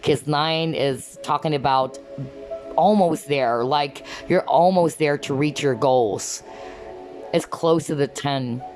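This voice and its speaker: Low-pitched lady doing a tarot reading, voice getting hoarse, soft music behind. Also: slow. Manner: earnest, repeats "okay?" to viewers. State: losing her voice. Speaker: tarot card reader. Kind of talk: pick-a-card reading to camera.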